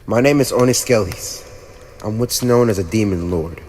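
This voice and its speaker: A man's voice: on the low side, a little mellow, close to an everyday voice. A man voicing a haughty, teasing teenage demon lord with a playfully cruel streak, in an ASMR roleplay addressed to the listener. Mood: condescending. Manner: haughty, teasing, theatrical, with drawn-out pauses. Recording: long reverb, audible background noise.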